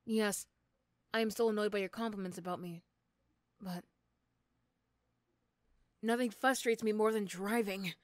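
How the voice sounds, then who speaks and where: A woman playing an irritable, sarcastic female supervillain in a roleplay audio drama, snappy and condescending but gruffly caring. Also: mid-range voice, a little slow, long pauses, no room sound, studio quality, pitched below the performer's own voice, lightly acted. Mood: annoyed.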